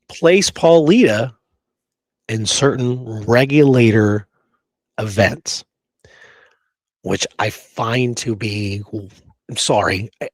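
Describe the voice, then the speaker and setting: A man's voice: mid-range voice, no room sound. A man co-hosting a casual history discussion, talking emphatically and often checking in with "right?". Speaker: podcast co-host.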